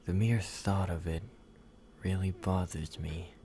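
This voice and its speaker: Deep-voiced obsessive vampire lover, played by a man, slow, flat, hushed ASMR delivery. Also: a little hiss or hum. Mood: intimate. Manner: soft, intimate, slow and controlling, slipping into whispers. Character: possessive male yandere vampire, obsessive and menacing. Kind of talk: ASMR roleplay.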